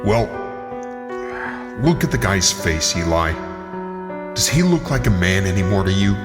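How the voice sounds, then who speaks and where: A blunt coroner from a horror audio drama, played by a man. His deep voice is sceptical and matter-of-fact, with long reverb and very loud music behind it. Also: very animated, unhurried.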